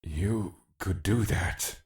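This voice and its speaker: Deep, measured male authority, played by an actor, unhurried, terse lines, audio drama. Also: darkish tone. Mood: calm, resolute.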